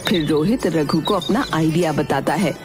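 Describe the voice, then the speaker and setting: A woman's voice: low voice, moderate pace. A woman reading a scripted story as the narrator. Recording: music behind the voice. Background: background music.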